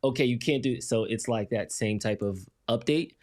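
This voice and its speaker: Male co-host, ordinary middle pitch, chatty and rambling. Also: a little fast. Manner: rambling, explains with examples, many "like" and "um" fillers. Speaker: co-host reading the chat. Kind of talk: casual live-stream talk.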